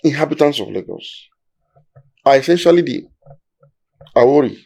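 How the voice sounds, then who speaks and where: A man's voice: medium pitch, animated, moderate pace, vocal fry, warm tone. A Nigerian man with a Nigerian accent, explaining history in a discussion in an emphatic, lecturing way, building his points step by step.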